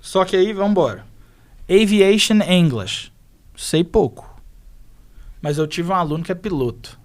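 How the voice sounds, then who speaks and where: A young man who teaches English, a guest on a podcast, telling stories in animated, slangy casual chat. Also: high-pitched, a little slow.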